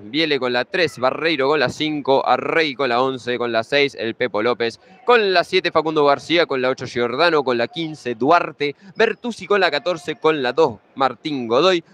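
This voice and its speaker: A man's voice: a little high, fairly bright tone. Young Argentine man calling futsal. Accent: Argentine Spanish. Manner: conversational, reels off standings and lineups. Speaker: match commentator. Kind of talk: pre-match sports commentary.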